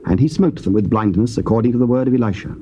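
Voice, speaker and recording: A man narrating scripture in a measured, stately reading. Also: medium pitch, dark tone. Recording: faint tape hiss.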